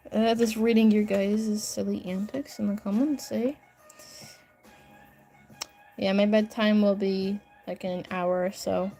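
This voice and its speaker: A woman's voice: mid-range voice, flat delivery, takes it slowly, gravelly, pauses often, mellow, soft background music. A young woman chatting casually on a livestream at home, rambling, giggling and getting sidetracked while reading comments. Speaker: livestreaming artist. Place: home bedroom upstairs.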